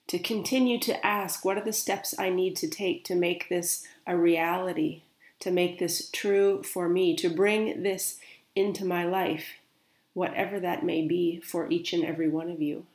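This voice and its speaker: Gentle woman in an echoey room. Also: lowish voice. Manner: gentle, soft, unhurried relaying of quoted words. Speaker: spiritual medium. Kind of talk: channeled guided meditation.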